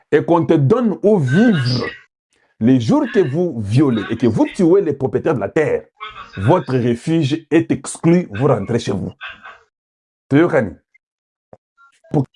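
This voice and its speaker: A man's voice: on the high side, sing-song, a little slow, pauses often, warm tone, slight background noise. A man from Congo giving political commentary, putting questions to his viewers and switching into Lingala at times. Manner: rhetorical, asks the audience questions, mixes in Lingala.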